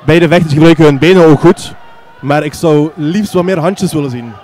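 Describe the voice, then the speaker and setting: Man analysing fights, slightly high voice. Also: normal speed, a little mellow. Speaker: fight co-commentator. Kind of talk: live kickboxing analysis.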